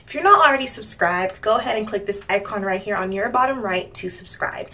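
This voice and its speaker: A young woman from Miami talking casually to her channel's viewers, rambling with fillers and soft laughs. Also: mid-range voice, a little fast, far from the mic. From Miami, United States. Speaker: vlogger. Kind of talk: casual talk to camera.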